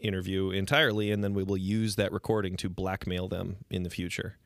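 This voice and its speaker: A man's voice: sits low, flat delivery, fairly quick, creaky, no echo, studio quality. A man co-hosting a podcast in casual chat, wry and joking, often laughing. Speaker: podcast co-host.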